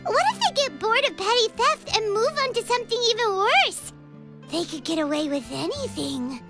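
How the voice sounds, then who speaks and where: A woman voicing a small, excitable, skeptical fairy companion in a video game, with a squeaky childlike voice and an incredulous, exclamatory manner. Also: normal speed, music in the background, exaggerated voice. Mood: indignant, astonished.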